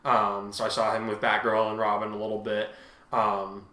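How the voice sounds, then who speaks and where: A man's voice: on the low side, monotone, normal speed, bright, forceful tone, roomy sound. A man who hosts and narrates, moving between casual chat and dramatic story reading.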